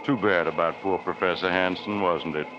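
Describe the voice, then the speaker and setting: An actor playing a sinister, teasing narrator host in an old radio drama, with a deep, insinuating voice. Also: male voice, fairly even intonation, moderate pace, natural voice. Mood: sly. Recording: muffled old radio recording with music.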